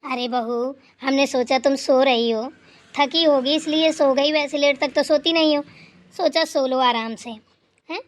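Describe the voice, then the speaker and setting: A woman's voice: sits high, fairly even intonation, measured pace. A woman voicing an elderly mother-in-law who rambles through stories and scolds playfully. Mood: chatty. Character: elderly mother-in-law, chatty, teasing, fond.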